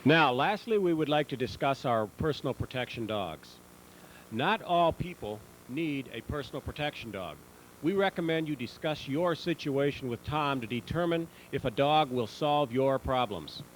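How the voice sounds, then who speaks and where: A man reading scripted promotional narration in a measured, instructional manner. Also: medium pitch, animated. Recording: noisy old VHS tape transfer.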